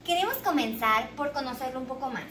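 A woman's voice: high voice, lively intonation, fairly quick, fairly bright tone. A young woman hosting a scripted mock interview, asking formal questions. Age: young adult. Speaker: interviewer, show host. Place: small bare indoor room with tiled floor. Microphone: far camera. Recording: echoey room, distant mic, background hiss.

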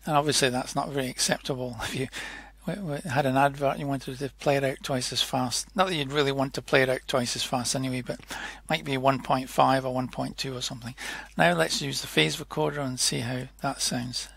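A man narrating an informal technical tutorial in a hesitant, halting way, often correcting himself as he explains. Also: medium pitch, steady tone, creaky, a bit bright, breathy edge. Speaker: software demonstrator.

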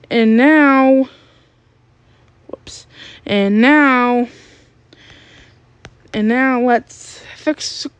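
A woman giving a step-by-step app tutorial in a halting way, searching for words. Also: a little high, no room sound. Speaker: tutorial creator.

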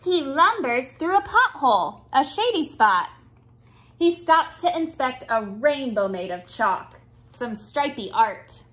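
A woman in her thirties reading a picture book aloud to young children with expressive, deliberate phrasing. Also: sits high. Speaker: children's storytime reader. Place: small indoor room with bookshelves.

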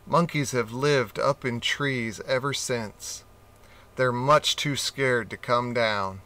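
Slow, bright-voiced male storybook reader. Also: medium pitch. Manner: slow, expressive reading with character voices. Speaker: narrator reading a children's picture book. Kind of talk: storybook read-aloud.

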